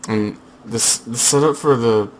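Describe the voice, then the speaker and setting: A young man casually chatting over his gameplay through a headset, slightly hoarse, rambling with fillers and restarts. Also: medium pitch, a little slow, a little hiss or hum. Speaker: gaming channel host.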